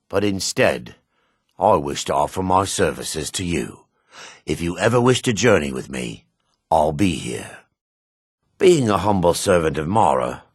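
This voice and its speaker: An actor playing a solemn, humble dark elf priest in a video game, speaking formally in measured, grave phrases with remorseful earnestness. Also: male voice, a bass voice, breathy edge, no echo, clean studio sound, full character voice. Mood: humble, weary. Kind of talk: video game character dialogue.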